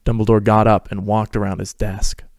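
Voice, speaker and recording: A man's voice: lowish voice, little pitch movement, moderate pace, a little mellow, dry recording, studio quality. A man hosting and narrating a dramatic reading of a fan fiction story.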